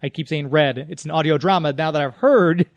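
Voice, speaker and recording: A high-pitched man speaks as a radio host in an easy conversational interview style. The sound is very dry, with hardly any room on the voice. He moves between addressing listeners and chatting with his guest. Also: fairly quick, no echo, muffled recording.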